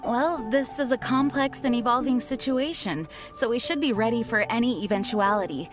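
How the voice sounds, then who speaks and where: A woman playing a dutiful young knight commander in a video game, with a formal, polite and measured manner. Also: a little high, natural voice. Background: background music.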